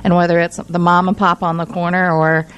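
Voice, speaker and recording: A woman's voice: fairly low pitch, level tone, a little fast, a bit bright, clean tone. A woman radio host explaining things conversationally in a studio, articulate and emphatic.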